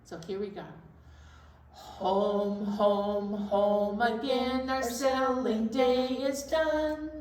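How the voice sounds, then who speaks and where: A woman presenting a children's storytime in a playful, animated way, moving between chatty talk and sing-along. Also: a little high, very slow. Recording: very echoey room, distant mic, faint noise.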